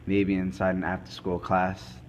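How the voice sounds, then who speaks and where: A man acts as a grave, methodical male investigator in an amateur crime drama monologue. The voice is low, and the phrasing is halting and deliberate. Faint noise sits behind the recording. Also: monotone, lightly acted. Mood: grave, suspenseful. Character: male forensic investigator recounting a murder case, grave and methodical. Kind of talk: scripted dramatic monologue, amateur student production.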